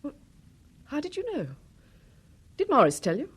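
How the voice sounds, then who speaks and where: A woman's voice: high voice, wildly swooping pitch, normal speed. An actress in a radio drama playing a distressed, genteel married woman with a hesitant, polite manner. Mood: troubled, defensive.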